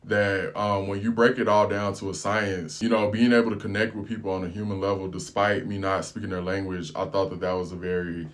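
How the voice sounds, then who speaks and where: Low-voiced American man telling stories. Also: fairly quick, hardly any pauses. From United States. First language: English. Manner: relaxed slangy storytelling. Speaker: travel vlogger. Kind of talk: casual storytelling.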